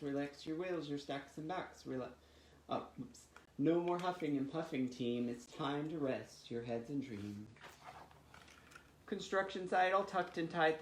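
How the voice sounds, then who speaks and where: A man hosting storytime for young children, with an expressive, sing-song delivery. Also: on the high side. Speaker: children's library storytime host. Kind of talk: reading picture books and leading finger rhymes. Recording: roomy echo with faint background noise.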